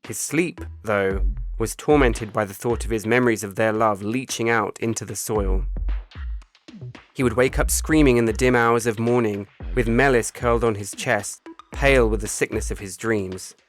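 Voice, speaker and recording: Male author-narrator, lower register, solemn and deliberate delivery of literary fiction, faint music bed. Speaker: author reading own short story.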